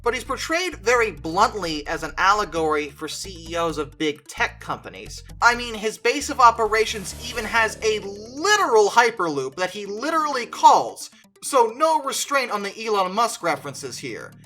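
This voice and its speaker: Bright, emphatic reviewer over music. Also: medium pitch, big pitch swings, moderate pace. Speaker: video essayist. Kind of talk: opinionated movie review commentary.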